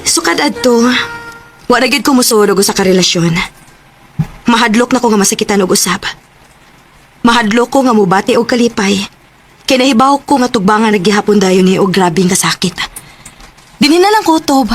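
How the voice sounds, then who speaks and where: A woman's voice: fairly high pitch, expressive, a little fast, pauses often, faint music behind, natural voice. An actress playing a hurt, betrayed young woman in a radio drama, with an emotional, anguished delivery. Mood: sorrowful, afraid. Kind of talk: Cebuano radio drama acting. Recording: noisy radio drama recording.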